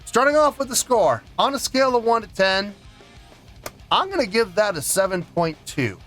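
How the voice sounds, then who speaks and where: Male music reaction host, slightly high-pitched, conversational reviewer who restarts and repeats points for emphasis, faint music underneath. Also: big pitch swings, normal speed. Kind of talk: casual song review to camera.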